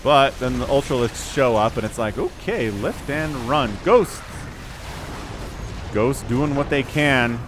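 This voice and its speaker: A man in his forties giving lively, excitable play-by-play commentary on a strategy game match. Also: mid-range voice, takes it slowly, music in the background. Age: 40s. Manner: animated, excitable, self-deprecating asides. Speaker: game caster. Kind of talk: esports commentary.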